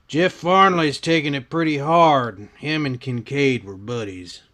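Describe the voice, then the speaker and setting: A man's voice: mid-range voice, a little slow, bright, forceful tone. A man acting a laconic, folksy saloon keeper in a western radio drama, understated in manner. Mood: wary.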